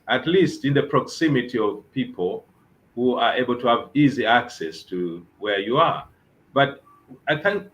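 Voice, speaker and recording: A man's voice: medium pitch, fairly bright tone, rough recording. A man, a bishop, giving earnest advice on a talk show and repeating his key phrases. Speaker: bishop guest.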